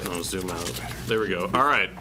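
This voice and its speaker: A man presenting as a city planning director at a public meeting, in a self-described monotone with many uh fillers. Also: deep voice, normal speed, noisy background. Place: council chamber.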